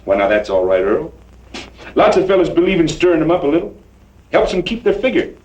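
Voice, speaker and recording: An actor playing a swaggering, mocking Western gunslinger with a folksy drawl, in the style of old-time radio drama. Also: male voice, on the high side, sing-song, brisk, darkish tone, muffled recording. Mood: mocking, easygoing. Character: swaggering, taunting Western gunslinger drifter who sings.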